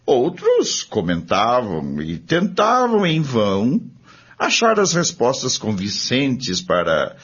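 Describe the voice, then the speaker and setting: A man with a Brazilian accent playing a narrator in a scripted radio drama, recounting spiritist history to companions in a husky voice. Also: medium pitch, huge pitch swings, takes it slowly, a bit bright, heavily acted. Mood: conversational, explanatory. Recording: dull, lo-fi audio.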